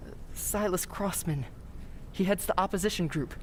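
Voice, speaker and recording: A woman's voice: moderate pace, lightly acted. A woman playing an earnest, polite, nervous young townsman in old-time radio drama style, with hesitant delivery. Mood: uneasy. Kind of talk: 1940s radio drama acting. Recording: faint rumbling background noise.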